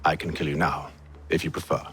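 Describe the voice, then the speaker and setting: A man's voice: a bass voice, level tone, a little fast, a bit bright, soft background music. An actor playing a haughty, sardonic middle-aged father and schemer in a video game, theatrical and condescending with dry mockery. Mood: dry, mocking. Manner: theatrical, condescending, dryly mocking. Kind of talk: dramatic video game acting.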